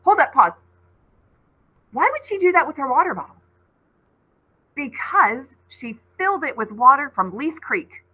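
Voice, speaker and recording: A woman's voice: high-pitched, sing-song, unhurried, long pauses, dull audio. A woman teacher reading a storybook aloud expressively and dramatically, with asides to her listeners.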